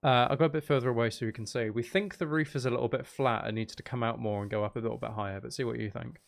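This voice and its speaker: A man with a middling pitch and a noticeably creaky, fry-edged voice. He talks casually as a live game streamer, tossing playful, teasing banter to his chat. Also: fairly even intonation, very fast. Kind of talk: casual gaming livestream chat.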